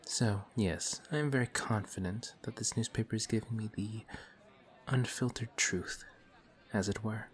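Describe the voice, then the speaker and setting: An actor playing a courteous, formal villain who is sly and quietly taunting, in a one-sided audio roleplay. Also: male voice, fairly low pitch, moderate pace, lightly acted. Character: suave, polite male villain in civilian clothes. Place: coffee shop scene with faint café chatter.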